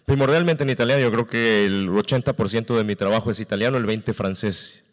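A man who sings tenor, talking frankly on a post-show panel with lively asides. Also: lowish voice, a little fast. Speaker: opera tenor. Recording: reverberant hall.

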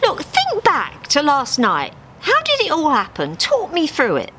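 Woman acting a brash, bossy lady duck, high and swooping. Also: huge pitch swings, measured pace, a bit bright, full character voice. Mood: persuasive. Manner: brash, colloquial, demanding. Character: bossy, scheming female duck dance teacher. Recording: live theatre recording with background noise.

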